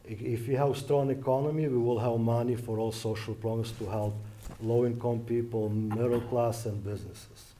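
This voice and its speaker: A man's voice: mid-range voice, fairly even intonation, normal speed, mellow, a little hiss or hum. A man from Western Ukraine with a Ukrainian accent, giving a debate opening statement as an election candidate in careful non-native phrasing.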